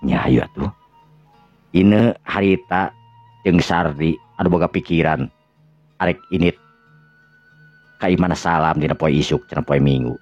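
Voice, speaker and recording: A man with a Sundanese accent and a gravelly, husky voice, narrating a tale in the manner of a radio storyteller. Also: low-pitched, fairly even intonation, normal speed, long pauses, a little mellow. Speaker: storyteller and channel host. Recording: dull lo-fi recording with music. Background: music.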